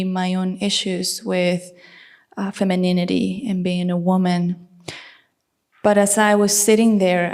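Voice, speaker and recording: Earnest woman panelist, reflective personal storytelling, middle pitch. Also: darkish tone. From Costa Rica.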